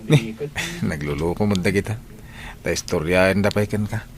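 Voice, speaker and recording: A low-voiced man with an Ilocano accent an earnest, hard-working father in a radio drama. He talks conversationally, over noticeable background noise. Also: moderate pace. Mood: concerned. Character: patient, hard-working husband and father, increasingly suspicious.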